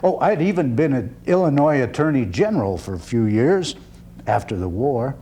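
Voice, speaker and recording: A man playing a genial sixty-five-year-old agnostic orator and country lawyer of the 1890s in a measured, folksy stage monologue. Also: mid-range voice, big pitch swings, warm tone, husky. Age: older. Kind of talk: theatrical one-man-show monologue.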